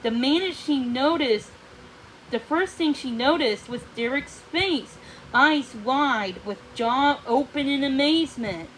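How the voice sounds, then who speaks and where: A woman's voice: high-pitched, slight background noise, low-quality recording. A woman narrating a storybook read-along in a dramatic manner, occasionally stumbling over words.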